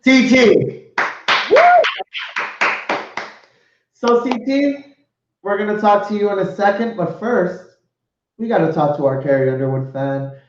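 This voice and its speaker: Effusive higher-voiced man host, echoey. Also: a little slow, long pauses, slight background noise. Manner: effusive, swears, laughs a lot. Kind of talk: casual live show chat. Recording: echoey lo-fi stream audio.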